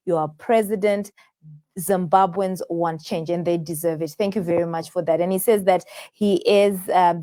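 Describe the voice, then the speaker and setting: A woman's voice: fairly low pitch, warm tone. A woman hosting a live call-in show, talking with many fillers and restarts as she takes viewers' calls. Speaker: host taking viewer calls.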